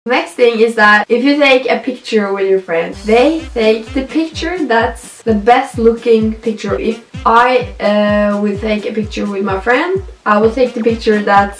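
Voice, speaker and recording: A woman's voice: medium pitch, echoey room. A young woman with a Norwegian accent, a native Norwegian speaker, chatting as a vlog guest, careful and playful while she searches for words. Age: young adult. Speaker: guest YouTuber. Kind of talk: casual vlog chat. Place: indoor room at home.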